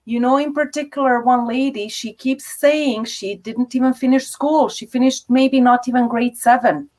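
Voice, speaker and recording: A woman's voice: high voice, fairly even intonation, normal speed, darkish tone. A middle-aged woman hosting an interview, talking in an encouraging, reassuring way. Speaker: interview host. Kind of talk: supportive interview chat.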